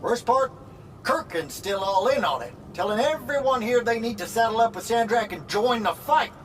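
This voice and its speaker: High-voiced, folksy male ally. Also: lively intonation, normal speed, a little hiss or hum. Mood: urgent. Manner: colloquial, folksy, urgent. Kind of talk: video game voice acting.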